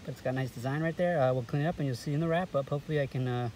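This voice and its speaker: A man's voice: medium pitch, very fast, a little mellow, rough recording. Male vlog host, informal and chatty with viewers, handheld phone sound with background ambience. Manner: informal, addresses viewers as guys. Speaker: metal detecting video host. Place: mostly outdoors in grass and along a river. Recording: clear background noise, outdoor ambience.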